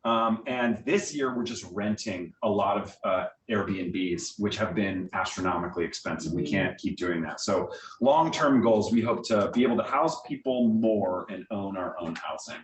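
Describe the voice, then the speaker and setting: A man's voice: lowish voice, normal speed, few pauses. A man speaking as a guest theater director, explaining things informally and answering audience questions in a conversational, filler-dotted way. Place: meeting room with an audience, also on Zoom. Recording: echoey room, distant microphone.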